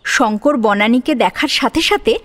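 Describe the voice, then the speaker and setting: A woman's voice: fairly high pitch, lively intonation, normal speed, fairly bright tone. A woman narrator reading a scripted dramatic story.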